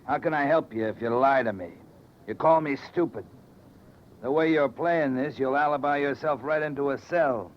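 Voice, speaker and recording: A man's voice: medium pitch, normal speed, natural voice. An actor playing a big, bullying, jealous tough guy in old radio drama, with blunt slangy talk. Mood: bullying, angry. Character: big menacing six-foot-four thug, bullying jealous lover. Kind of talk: 1940s-50s radio acting. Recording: muffled, noisy old radio recording.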